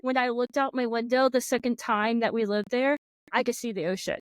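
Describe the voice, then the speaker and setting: Chatty Floridian American woman, high voice. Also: brisk, smooth, dry recording. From Florida, United States. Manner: chatty, lots of "like" and "yeah". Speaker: podcast host. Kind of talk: casual conversation.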